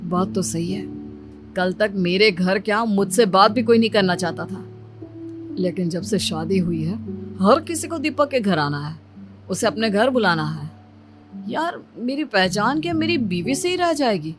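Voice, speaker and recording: A woman's voice: mid-range voice, lively intonation, unhurried, natural voice. Woman acting a grumbling young husband, music behind. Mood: brooding. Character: moody, insecure young husband, weary and irritable. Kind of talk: dramatised cartoon moral story.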